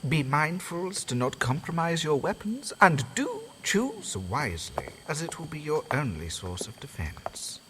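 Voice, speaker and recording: A grand, sinister asylum host. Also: male voice, on the high side, huge pitch swings, a bit bright, slight background noise, exaggerated voice. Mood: instructive. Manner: grand, courtly, slow and sweeping. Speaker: asylum owner character. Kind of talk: audio drama acting. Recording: faint music underneath.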